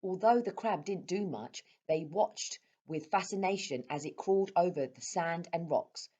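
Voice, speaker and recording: A woman reading a children's story aloud as a storyteller, with expressive delivery. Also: lowish voice, normal speed. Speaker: storyteller at a primary school.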